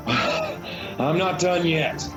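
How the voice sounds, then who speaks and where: A man's voice: a little high, very slow, over music, low-quality recording. A man playing a battered, stubborn young heroic prince in a radio play, weary but determined. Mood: weary defiance.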